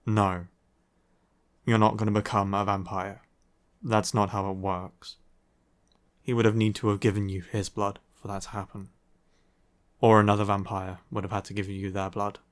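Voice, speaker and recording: A man playing a grumpy young male vampire in an intimate audio roleplay, curt and deadpan, with a reluctantly caring streak. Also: on the low side, normal speed, long pauses, lightly acted. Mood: reluctantly sympathetic, explanatory. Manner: deadpan, curt, dry sighing delivery. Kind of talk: audio roleplay, intimate ASMR-style acting.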